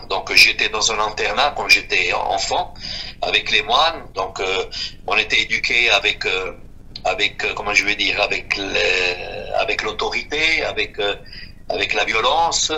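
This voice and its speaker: A man of fifty-six from Switzerland speaks in a halting, repetitive way as he gives an unscripted personal testimony. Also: on the low side, sharp tone, low-quality recording. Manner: halting, repeating himself. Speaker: guest giving a personal testimony.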